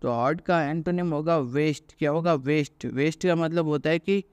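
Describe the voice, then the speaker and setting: A man drills antonyms in a clear, resonant voice pitched a little high. The sound is close and dry, and he quizzes the class and then answers his own questions. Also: normal speed, a little mellow, no echo. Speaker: exam coaching teacher. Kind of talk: classroom lecture.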